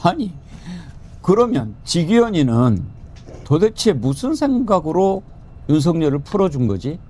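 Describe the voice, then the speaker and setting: Lively male political talk host. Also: on the high side, a little slow. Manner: lively, joking, quotes with raised voice.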